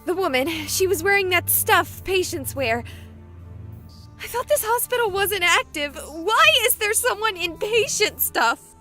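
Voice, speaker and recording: An actress voicing a high-strung, easily panicked young woman streamer in a dramatic visual novel style. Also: animated, measured pace, pushed and bright, soft background music, exaggerated voice. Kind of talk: animated visual novel voice acting.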